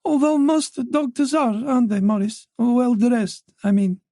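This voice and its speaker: A man voicing an older mother who talks quickly and insistently. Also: very high-pitched, warm tone, dry recording, studio quality, pitched above the performer's own voice. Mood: earnest. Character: worried older French mother, forthright and talkative.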